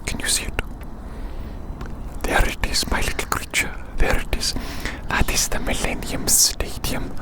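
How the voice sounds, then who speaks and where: An ASMR creator whispering soothingly outdoors on a city walk, slowly repeating short phrases. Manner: soothing, slow repetition of phrases.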